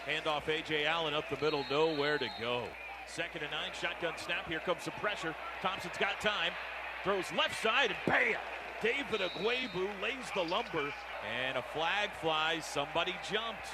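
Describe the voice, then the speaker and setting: An American man with a high-pitched voice calls live football on the radio. He speaks in clipped, urgent play-by-play phrases over a roaring stadium crowd and heavy noise. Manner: clipped rapid-fire phrases, urgent calls on big plays. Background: loud stadium crowd.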